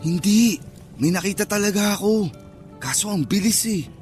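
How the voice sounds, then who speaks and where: A man's voice: high-pitched, animated, normal speed, darkish tone, soft background music. An actor playing a superstitious, easily spooked young man chatting in slang in a radio drama. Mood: uneasy. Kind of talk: radio drama dialogue, casual banter.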